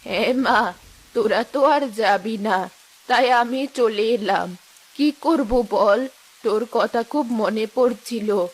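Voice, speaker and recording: A woman doing a slow-talking, motherly old village granny. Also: a little high, pitched below the performer's own voice, rough recording. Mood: affectionate, calling. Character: gentle elderly village mother-in-law. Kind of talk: cartoon story dialogue.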